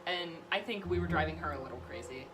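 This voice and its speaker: A woman's voice: low voice, quick pace, a crowd in the background. A young woman vlogger telling personal stories in a casual, chatty, playful way, recapping details with mock seriousness. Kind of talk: casual chatty storytelling with a friend.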